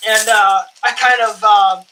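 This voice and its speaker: A young man with a higher voice for a man chats casually while sorting his coin collection, amused at his own stories and often clearing his throat. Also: steady tone, measured pace, bright, forceful tone, slight background noise. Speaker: coin collecting channel host. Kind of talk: casual rambling vlog chat while sorting coins.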